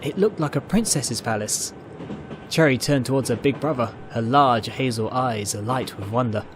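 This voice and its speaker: A man's voice: medium pitch, moderate pace, background noise. A man reading a story aloud as a scripted, dramatic narration. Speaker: narrator, fan fiction reader.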